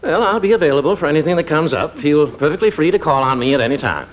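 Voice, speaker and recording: A man's voice: on the high side, expressive, fast talker, a little hiss or hum, natural voice. An American actor playing a fussy, pompous but kindly middle-aged doctor and father in an old radio comedy. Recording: muffled old-time radio recording.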